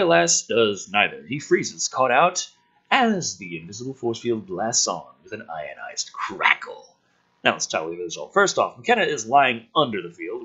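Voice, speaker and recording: A man with a forceful, raspy voice reads a script aloud with lively, swinging pitch. He drops into casual, exasperated commentary with plenty of swearing. The recording sounds slightly dull and lo-fi. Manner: sardonic, sweary, exasperated asides. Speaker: host reading and critiquing a film script draft.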